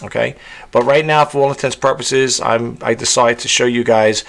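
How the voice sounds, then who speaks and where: A man with a self-described monotone voice giving a casual, rambling tutorial vlog, full of fillers and restarts. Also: medium pitch, normal speed, gravelly. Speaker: content creator.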